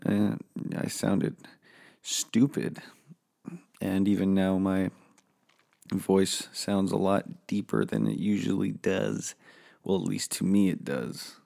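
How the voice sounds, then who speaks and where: A man with a hoarse, gravelly, low voice talks alone into his podcast mic from a home office. He drawls slowly through a rambling, self-deprecating monologue, swearing freely. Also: steady tone, warm tone. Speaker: podcast host. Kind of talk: casual solo podcast monologue.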